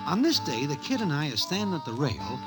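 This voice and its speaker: A genial New racetrack character spinning a yarn on vintage radio. His voice sits slightly high and moves freely up and down, wrapping street slang in stiff, formal grammar. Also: big pitch swings, a little fast, music in the background. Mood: wry, unhurried. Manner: mock-formal slang in present tense, no contractions. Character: Broadway, genial male racetrack hanger-on and storyteller. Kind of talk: old-time radio drama narration. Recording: vintage radio broadcast recording.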